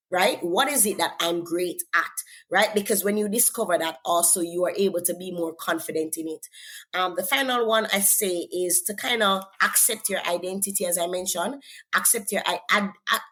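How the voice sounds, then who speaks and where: A woman's voice: medium pitch, moderate pace, sharp tone. A woman with a Jamaican accent, a guest author giving encouraging, faith-centered advice in an interview. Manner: earnest, encouraging, repeats words when thinking. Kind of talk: faith-based interview talk.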